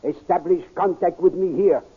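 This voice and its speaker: A man playing a calm space commander in an old radio drama, measured and authoritative. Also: sits high, husky. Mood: commanding. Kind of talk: 1930s radio drama acting. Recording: tinny, narrow old radio recording.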